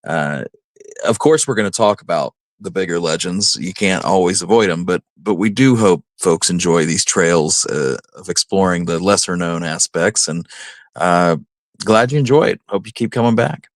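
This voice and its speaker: A man's voice: low voice, moderate pace, no echo, studio quality. A man co-hosting a live show in casual chat, with an easygoing, folksy way of addressing listeners. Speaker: co-host reading out listener comments.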